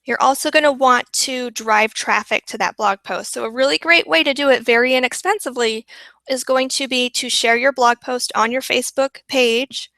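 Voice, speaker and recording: Upbeat woman teaching a webinar, voice fading from a long week, crisp bright tone, dead-dry sound. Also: high voice, no echo. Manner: upbeat, repeats for emphasis. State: losing her voice. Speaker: webinar presenter.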